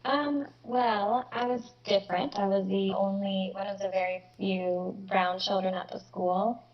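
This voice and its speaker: A woman's voice: medium pitch, steady tone, a little slow, lo-fi sound. A young woman from Mexico raised in Kentucky, with a light Kentucky accent, speaking reflectively as an interview guest over a video call. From Mexico, raised in Northern Kentucky. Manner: reflective, many fillers.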